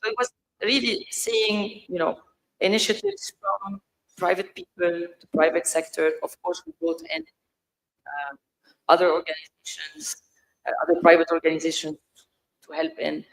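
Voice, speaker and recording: Woman, middle-aged, from Lebanon, gravelly fry, panel anecdote, deliberate short phrases. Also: lowish voice, low-quality recording. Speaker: panel speaker. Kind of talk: panel discussion, personal anecdote.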